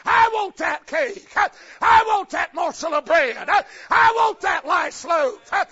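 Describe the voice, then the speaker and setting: A man voicing a stern old prophet making demands, shouting with uh after each phrase. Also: extremely high pitch, animated, measured pace, a faint crowd, full character voice. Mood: commanding. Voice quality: shouted, punctuated by uh. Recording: lo-fi recording with faint congregation.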